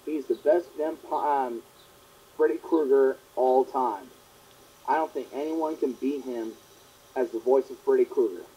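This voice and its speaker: Hoarse young man, husky rambling talk. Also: medium pitch, unhurried, dark tone. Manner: rambling, earnest, repeats himself. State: sore throat, partly lost voice from a long cough. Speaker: host of a fan question show. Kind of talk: casual monologue answering fan questions. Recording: dull lo-fi home recording.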